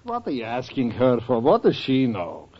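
Impish old professor, swooping lively voice. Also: male voice, mid-range voice, moderate pace. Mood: teasing. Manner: theatrical, teasing punchlines. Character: impish older European professor, affectionate, mischievous joker. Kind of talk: 1940s radio comedy acting. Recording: dull, noisy vintage radio recording, studio audience.

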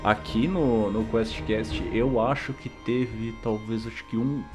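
A man's voice: fairly low pitch, fairly even intonation, takes it slowly, music in the background. A Brazilian man talking casually and informally as a podcast host. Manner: informal, slangy. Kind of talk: casual interview chat.

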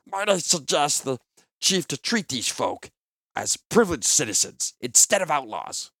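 A man's voice: a little high, sing-song, a little slow, bright, forceful tone, dry recording, clean studio sound, voice pushed up, full character voice. A man voicing a sly, mocking little goblin with oily, fawning, questioning speech in a fantasy audiobook. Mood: deferential. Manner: obsequious flowery speech, all in questions.

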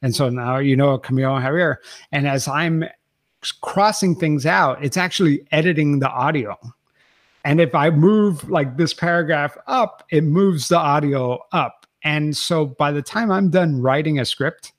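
Male podcast producer, excited talker with a higher voice, dry booth sound. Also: a little slow, no echo. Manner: enthusiastic, laughs often, restarts sentences. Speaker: podcast host and producer, guest. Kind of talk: casual interview chat.

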